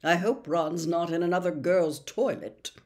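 A woman's voice: fairly low pitch, a little slow, a little mellow, natural voice. An older woman voicing a pompous, hearty teenage prefect with emphatic delivery in a storybook reading. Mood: disapproving.

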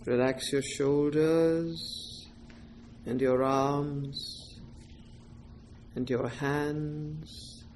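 A woman leading a guided meditation as a teacher, giving gentle, deliberate instructions in short phrases with pauses. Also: deep voice, fairly even intonation, slight background noise.

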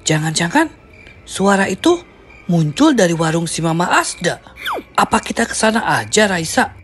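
Woman voicing a low, lively cartoon boy. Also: normal speed. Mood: cautious. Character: cautious, protective boy out at night. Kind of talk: animated cartoon dialogue. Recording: music behind the voice.

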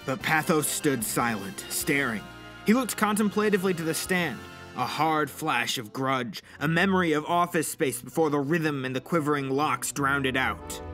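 Man narrating dramatically over music, highish voice. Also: animated, normal speed, bright, forceful tone. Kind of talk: dramatic scripted narration of an audio story.

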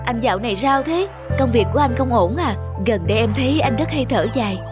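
Woman acting a high-voiced caring young cartoon wife. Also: lively intonation, fast talker, music in the background, lightly acted. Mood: concerned.

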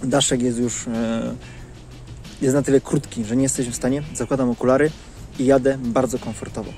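A man's voice: medium pitch, fairly even intonation, a little fast, a little mellow, soft background music. A man in his thirties giving a casual product review to camera, recorded outdoors on a lapel mic. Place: outdoors in leafy woodland. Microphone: clip-on lapel mic.

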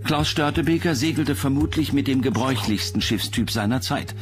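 A man's voice: medium pitch, brisk, music in the background. A man reading scripted documentary narration.

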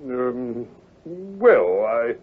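An actor playing a genial, earnest, easily flustered gentleman sidekick with a British accent, hesitant and bumbling, in an old-time radio drama. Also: mid-range voice, big pitch swings, very slow, slightly rough, full character voice. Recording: muffled vintage radio recording, music.

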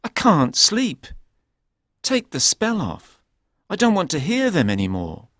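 A man's voice: high-pitched, wildly swooping pitch, measured pace, a bit bright, no echo, clean studio sound, voice pushed up, full character voice. Man putting on a weary, swooping, complaining storybook king. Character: cunning king posing as a weary, ailing lover. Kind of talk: storybook character voice.

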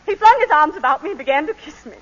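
A refined planter's wife, high and lively. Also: female voice, fast talker. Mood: agitated. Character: composed planter's wife recounting a killing. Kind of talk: 1940s radio acting. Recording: muffled 1940s radio broadcast.

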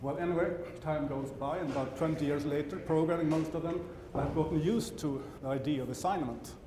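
A man's voice: on the high side, brisk. A middle-aged man from Sweden with a Swedish accent, presenting a technical conference talk on stage, often stopping to correct himself, with dry asides. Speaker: conference speaker. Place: large conference hall. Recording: roomy hall sound with background hum.

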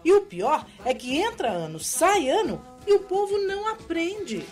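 A woman's voice: extremely high pitch, big pitch swings, a little slow, darkish tone, soft background music, heavily acted. An actress playing an outspoken young Brazilian village woman in a radio soap, chatty and emphatic. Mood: indignant, emphatic. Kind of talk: radio soap opera acting.

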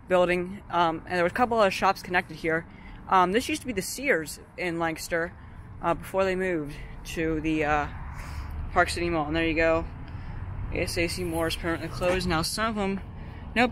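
A man's voice: high-pitched, a bit bright. A man with a Pennsylvania accent filming himself outdoors at a parking lot, talking casually and hesitantly with lots of ums while exploring a closed store. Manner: hesitant, many ums and uhs, hedging. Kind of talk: casual walking commentary. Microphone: handheld camera or phone. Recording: outdoor street noise behind. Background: traffic and outdoor noise.

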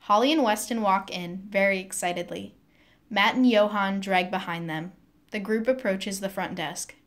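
A woman's voice: medium pitch, normal speed. Casting: female narrator for script stage directions, middle register.

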